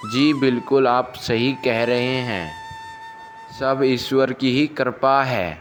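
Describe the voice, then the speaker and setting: Man reading devout village wife, respectful, reassuring, slow pace, cartoon moral tale, music behind. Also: mid-range voice, close to an everyday voice. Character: gentle, devout, supportive village wife, adult woman. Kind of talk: animated moral story dialogue.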